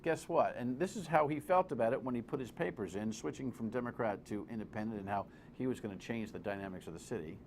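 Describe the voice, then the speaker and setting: Male TV host, gravelly voice, informal and wry, slangy emphatic delivery, slight noise behind. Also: medium pitch, quick pace, hardly any pauses, a little mellow. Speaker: talk show host. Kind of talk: off-the-cuff TV commentary and interview.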